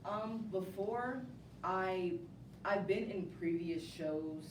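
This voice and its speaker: A woman chatting casually as an interview guest, telling her story with acted-out quotes. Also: takes it slowly. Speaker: stage cast member as interview guest. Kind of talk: casual chat. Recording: roomy, distant mic, noisy with rumble.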